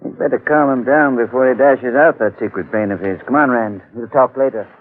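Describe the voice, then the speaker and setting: An actor playing a brisk, wry, dismissive professor in 1940s radio drama style. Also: male voice, medium pitch, lightly acted. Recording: tinny, band-limited old 1940s radio recording.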